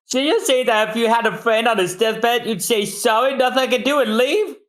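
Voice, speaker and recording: A man voicing a brash, hot-headed male shipwright gang boss in a loud, high, shouting voice. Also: a little fast, echoey room. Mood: angry.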